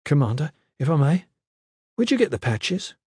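A man's voice: a little high, sing-song, moderate pace, a little mellow, slightly rough, no room sound, clean studio sound, pitched above the performer's own voice, heavily acted. A man voicing an anxious, earnest young military trainee in an audiobook story, urgent and questioning. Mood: tentative.

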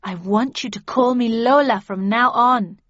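A woman's voice: mid-range voice, animated, moderate pace, husky, dry recording, lo-fi sound. Woman playing a gushing, girlish, exuberant chatterbox. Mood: breezy, insistent. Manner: gushing, jabbering, childish.

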